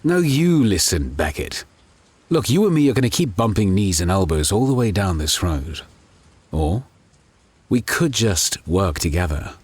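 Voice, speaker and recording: A man playing a determined undercover detective out for vengeance in an audio drama, husky-voiced, terse and dry. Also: deep voice, sing-song, a little slow, darkish tone, no room sound, full character voice. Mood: exasperated.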